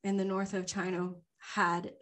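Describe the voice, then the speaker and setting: A woman's voice: mid-range voice, level tone, unhurried, fairly bright tone. A young woman co-hosting a podcast, telling a story from memory in a rambling, chatty way with plenty of ums and laughs. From Louisiana. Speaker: podcast co-host. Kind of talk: casual chat, unscripted storytelling.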